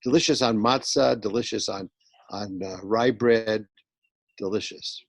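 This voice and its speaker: Halting male rabbi, dry close sound. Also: fairly low pitch, vocal fry, no room sound. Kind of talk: casual talk to children in an online class.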